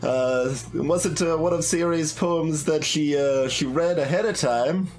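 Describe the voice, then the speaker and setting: A man's voice: on the high side, measured pace, darkish tone, a little hiss or hum. A man giving casual playthrough commentary, thinking aloud in a hesitant, rambling, analytical way. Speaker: game streamer. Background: background game music.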